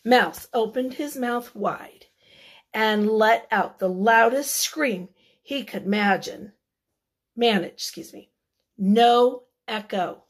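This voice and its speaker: A woman reading a picture book aloud to children, expressively and deliberately, with dramatic emphasis. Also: fairly high pitch. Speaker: children's read-aloud storyteller.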